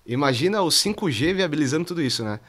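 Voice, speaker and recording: A man's voice: on the high side, lively intonation, fast, a bit bright. A Brazilian man as a podcast guest, chatting informally with slang and rambling asides. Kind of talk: casual chat.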